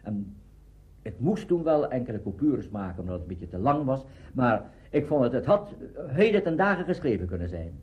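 A man's voice: mid-range voice, very animated, moderate pace, dull audio. An older man, a radio drama director reminiscing spontaneously in an interview.

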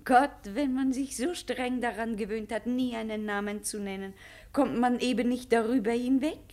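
An actress in a German radio play playing a self-assured, coquettish madame with a theatrical, genteel, teasing manner. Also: female voice, fairly high pitch, steady tone, moderate pace, darkish tone, natural voice. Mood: coy.

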